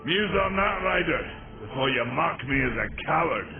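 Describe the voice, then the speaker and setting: A man playing a proud, gruff old warrior maker in a video game, boastful and gravelly. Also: a little high, lively intonation, moderate pace. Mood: proud, defiant. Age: older. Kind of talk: dramatic video game acting. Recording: muffled narrow sound, music underneath.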